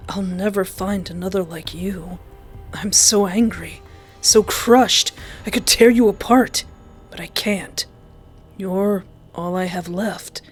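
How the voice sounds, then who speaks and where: A woman voicing a vengeful, obsessed, disfigured male ex-boyfriend in a visual novel, slow and menacing, often sinking to a whisper. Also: mid-range voice, fairly even intonation, breathy edge, faint music behind, voice pushed down. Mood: anguished, threatening. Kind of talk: dramatic visual novel voice acting.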